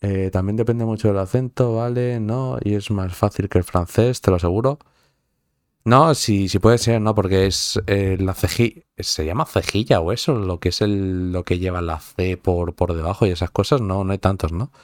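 A man's voice: deep voice, steady tone, moderate pace, a little mellow, dry recording, clean studio sound. A young man from Madrid with a Madrid Spanish accent, chatting casually with viewers in a rambling, profane way full of fillers and restarts. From Madrid, Spain. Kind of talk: casual chat.

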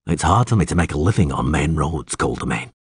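A man voicing a terse, weary monster hunter with dry wit in an audiobook, speaking in a laconic, deadpan way. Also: extremely low pitch, fairly quick, breathy edge, no echo, voice pushed down, exaggerated voice. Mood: grim, matter-of-fact. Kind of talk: audiobook character acting.

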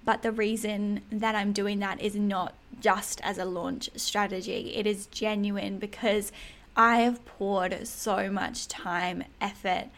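An Australian woman with a slightly husky voice, talking candidly and emphatically in a casual solo podcast monologue. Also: medium pitch, steady tone, slow, fairly bright tone. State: throat a bit hoarse in early morning. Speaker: podcast host.

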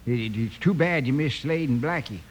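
An actor playing a fussy, excitable old American hotel keeper in a radio Western, stammering and repeating himself in a flustered way. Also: male voice, mid-range voice, fairly quick, close to an everyday voice. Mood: grumbling, flustered. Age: older. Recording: old radio recording with faint noise.

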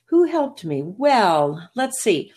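A woman's voice: mid-range voice, sing-song, slow pace, darkish tone. A middle-aged woman voice actor from New England, answering interview questions in an articulate, chatty way with frequent restarts. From New England, USA. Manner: articulate but chatty, many restarts and laughs. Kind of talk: relaxed conversational interview.

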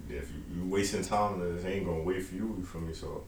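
A man's voice: sits low, a little fast, vocal fry, a little mellow. A man talks loosely about his music, full of slang and "you feel me". His voice sounds roomy and echoey, with faint noise behind. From Long Island, New York. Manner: loose, rambling, slangy with many fillers. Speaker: indie rapper guest. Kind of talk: casual interview.

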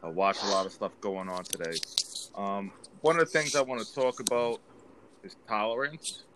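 A man's voice: on the low side, steady tone, normal speed, noisy background. Earnest man hosting a podcast. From the Bronx, New York. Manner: earnest, emotional. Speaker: podcast host. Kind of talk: casual podcast chat.

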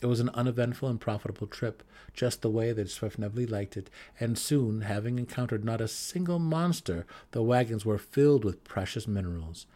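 A man's voice: lowish voice, brisk, hardly any pauses, mellow. A man reading a scripted fantasy story as a dramatic audiobook narrator.

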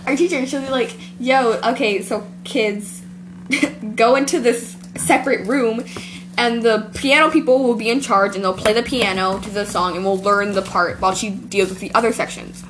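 A woman's voice: fairly high pitch, moderate pace, noisy background. A teenage girl hosting a homemade podcast, rambling through a school story with lots of giggling. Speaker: podcast host. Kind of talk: casual chat.

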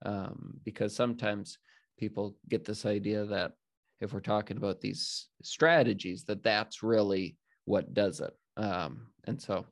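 A man's voice: fairly low pitch, fairly even intonation, unhurried, no room sound, clean studio sound. A young man hosting a faith podcast, speaking earnestly in casual talk, often restarting sentences and using fillers. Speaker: podcast host.

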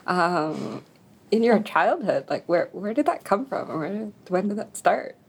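A woman hosts the interview, with a breathy, husky tone. Her pitch rises and falls in a lively way as she hesitates and hunts for her words. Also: measured pace. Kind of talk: casual interview.

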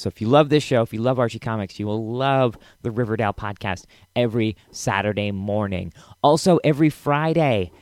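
A male podcast host talks casually and with enthusiasm. His pitch is mid-range for a man and his delivery is chatty. The recording is close and dry. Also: no room sound.

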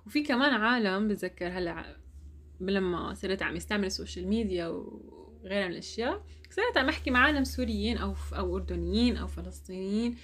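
A woman's voice: mid-range voice, animated, fast, a bit bright, slight background noise. A young woman with an Algerian Arabic accent, chatting casually and telling a personal story. She laughs often and mixes French words into her speech.

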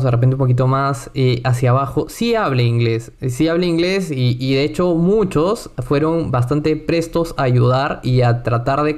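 A man hosts a relaxed conversation about travel. His voice sits at an ordinary middle pitch. He tells stories in an animated way, full of playful asides and quick self-corrections. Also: clear, resonant tone. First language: Spanish. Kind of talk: casual travel chat.

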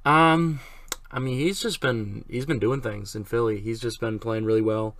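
A man's voice: medium pitch, fairly even intonation, normal speed, a bit bright. A young man co-hosting a sports talk show in a casual, easygoing, hesitant way. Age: young adult. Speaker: sports podcast co-host, student. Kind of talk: casual chat.

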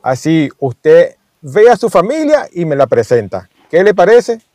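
An actor playing a bossy, jealous, folksy Venezuelan bar owner in a radio soap, talking in a blustery, colloquial way. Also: male voice, fairly high pitch, sing-song, measured pace, mellow, no echo, studio quality. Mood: affable. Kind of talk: radionovela acting.

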